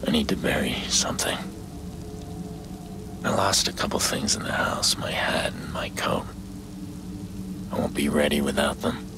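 A male actor playing a weary, guilt-ridden middle-aged vigilante in a game, speaking tersely in a low, hushed, gravelly voice. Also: moderate pace, pauses often, whispered. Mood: stubborn, detached. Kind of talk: cinematic game drama acting. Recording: rain and ambience behind the voice.

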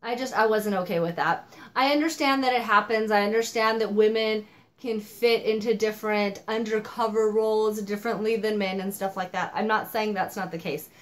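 A young woman reviewing a book in a casual vlog, animated and exasperated, slightly hoarse from a cold, filmed at her home office desk. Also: medium pitch, normal speed. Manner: animated, exasperated, gestures a lot.

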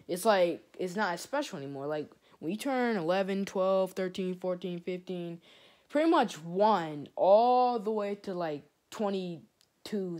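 A man's voice: high voice, a little mellow. A teenage boy vlogging in a small bedroom into a phone camera held close. He talks in a loose, rambling way, full of "like", and his unsteady voice tends to crack. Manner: rambling, full of "like", drifts off topic. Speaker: gaming channel vlogger. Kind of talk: rambling casual vlog.

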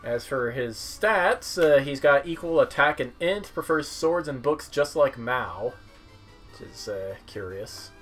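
A man doing casual game commentary as a streamer, halting as he goes and dropping wry asides. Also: mid-range voice, animated, fairly bright tone. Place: small room at home, indoors. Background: game music.

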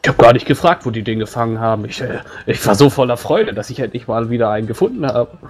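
A man's voice: mid-range voice, expressive, quick pace, slightly rough. Guy at a tabletop rpg, mid voice, blunt n chatty, everyday wording. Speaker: tabletop role-player. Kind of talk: casual in-character tabletop roleplay.

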